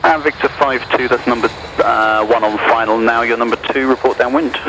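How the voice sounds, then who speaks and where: A man's voice: mid-range voice, steady tone, fairly quick. A man giving clipped air traffic instructions over aviation radio.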